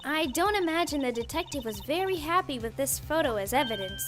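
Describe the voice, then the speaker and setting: A woman voicing a perky, eager, teasing young girl sidekick, excitable and cheeky, in a fan-dubbed video game. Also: high voice, brisk, over music. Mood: curious.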